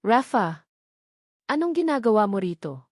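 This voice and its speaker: A woman's voice: on the high side, sing-song, normal speed, no echo, clean studio sound. A woman voicing a heartbroken, betrayed young woman in a storybook, emotional and wounded. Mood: weary, puzzled. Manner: emotional, accusing.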